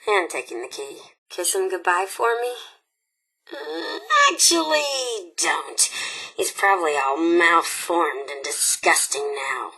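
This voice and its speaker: An actress playing a manic, sarcastic female robot raider who runs a radio in a video game, sassy and flippant with a raspy edge. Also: fairly low pitch, lively intonation, takes it slowly, sharp tone, exaggerated voice, rough recording. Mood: mock-sad, snide. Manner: sassy, flippant, swinging between mock sweetness and snark. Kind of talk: video game character voice lines.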